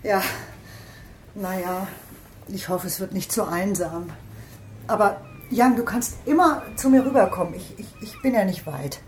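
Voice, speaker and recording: An actress playing a sympathetic, informal police colleague in a naturalistic radio crime drama, with a casual manner. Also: female voice, medium pitch, measured pace, close to an everyday voice. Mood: apologetic, sympathetic. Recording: clearly noisy ambience behind voice.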